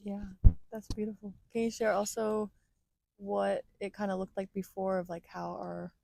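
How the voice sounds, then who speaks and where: A twenty-two-year-old woman hosting a casual interview, asking questions gently and encouragingly. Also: medium pitch, level tone, moderate pace, creaky, slight background noise, rough recording. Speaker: host.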